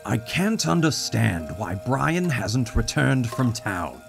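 A man playing a slow, gravelly older father. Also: medium pitch, very animated, music in the background, exaggerated voice. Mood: subdued, tired. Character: weary older father of a grown daughter. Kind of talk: audio drama acting.

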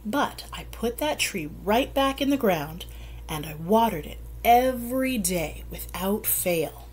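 A woman's voice: mid-range voice, animated, slow pace, husky. A woman voicing a wise, plainspoken older woman gardener in a storybook. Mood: reminiscing, proud.

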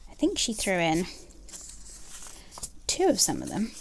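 A young woman chatting casually about planner stickers, soft and gushing, with many ums and likes. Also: mid-range voice, wildly swooping pitch, measured pace, vocal fry, slight background noise. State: has a bad cold, nasal and throaty. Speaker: planner sticker haul vlogger. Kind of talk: casual haul chat. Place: indoors at a table, filming her hands.

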